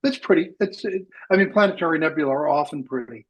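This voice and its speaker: A man's voice: a little high, lively intonation, quick pace, lo-fi sound. A man from Wales, hosting a live astronomy radio show and talking with many fillers and restarts. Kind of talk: live radio science chat.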